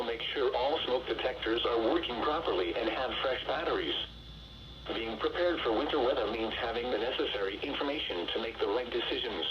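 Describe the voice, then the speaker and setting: Through loud static on a weather radio broadcast comes a man's synthesized announcer voice at a middling pitch. He reads scripted safety guidance in a measured, impersonal way. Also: lo-fi sound.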